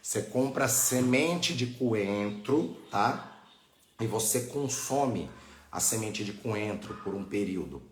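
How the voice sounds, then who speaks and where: Low-voiced Brazilian man teaching, roomy echo. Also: animated, takes it slowly. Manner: didactic, frequent checking tags. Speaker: religious teacher hosting a live online class. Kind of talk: informal live-stream teaching, answering viewers' questions. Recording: echoey, roomy sound.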